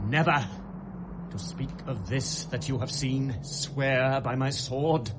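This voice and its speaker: An actor playing a feverish, grieving young prince with a British accent, in a classical Shakespearean radio drama, with theatrical pauses. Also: male voice, medium pitch, close to an everyday voice. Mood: urgent, solemn. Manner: volatile, theatrical delivery with rhetorical pauses. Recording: noisy old radio drama recording.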